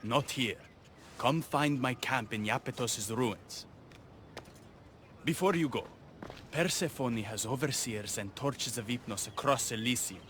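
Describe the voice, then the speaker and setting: A man playing a smooth-talking, lovestruck rebel with a dramatic, deliberate manner in a video game cutscene. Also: medium pitch, fairly bright tone, a little hiss or hum, natural voice. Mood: serious, urgent.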